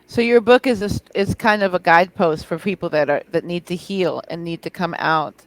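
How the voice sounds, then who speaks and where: Woman, podcast host, lower voice, hesitant questions, dry close sound. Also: fairly quick, no room sound, low-quality recording. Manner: hesitant, repeats words while framing questions. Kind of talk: interview questions.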